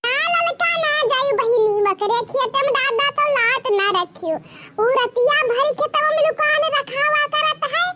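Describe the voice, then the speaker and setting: Squeaky, very high cartoon village gossip, Awadhi accent. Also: female voice, animated, very noisy. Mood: playful. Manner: giggly, chatty, rustic, drawn-out exclamations. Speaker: cartoon voice performer. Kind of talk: rustic comedy cartoon dialogue.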